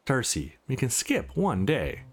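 A man's voice: mid-range voice, huge pitch swings, unhurried, slightly rough, heavily acted. A man voicing a terse, brooding young man who trains to fight in a visual novel. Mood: reluctant. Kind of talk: visual novel dialogue and inner monologue read aloud.

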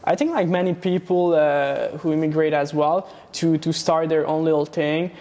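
Hesitant young man, flat voice. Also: fairly high pitch, moderate pace. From Romania. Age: young adult. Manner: hesitant, many fillers and restarts. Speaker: guest. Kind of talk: casual interview. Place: studio set with plant wall and neon sign. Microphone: lapel mic.

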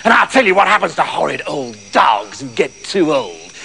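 A man's voice: a little high, big pitch swings, normal speed, gravelly, bright, forceful tone, soft background music, voice pushed down, exaggerated voice. A man voicing a horrible, gloating farmer in a children's story, taunting and menacing.

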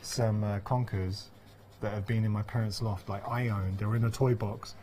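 A man's voice: fairly low pitch, steady tone, normal speed, background noise. A man in his thirties presenting on a studio set, bantering playfully with trivia and stories. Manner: playful, jokey, full of trivia and anecdotes. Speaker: TV shopping channel presenter. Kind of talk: light banter with a guest.

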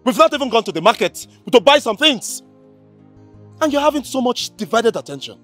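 An actor with a Nigerian accent playing an impatient, demanding young fiancé in a film drama, insistent and stern. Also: male voice, very high-pitched, big pitch swings, fairly quick, a bit bright, soft background music, heavily acted. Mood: irritated.

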